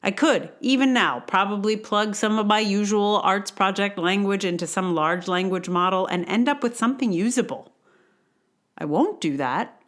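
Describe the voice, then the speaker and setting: A woman reading a scripted personal essay in a wry, candid way with measured emphasis. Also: mid-range voice. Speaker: writer and podcaster reading an essay.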